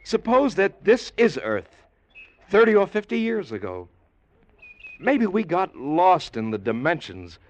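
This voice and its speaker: An actor playing a skeptical, commanding spaceship captain in an old-time radio drama, with an incredulous, authoritative manner. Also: male voice, high-pitched, wildly swooping pitch, a little slow, heavily acted. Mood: pensive, urgent.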